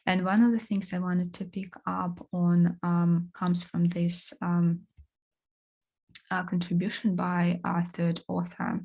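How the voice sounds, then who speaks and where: A woman talks through a seminar topic in a low, flat voice with a gravelly, husky edge. She halts often, filling gaps with hesitations and restarting her sentences. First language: Russian. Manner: halting, many fillers and restarts. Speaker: course presenter. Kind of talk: academic seminar talk.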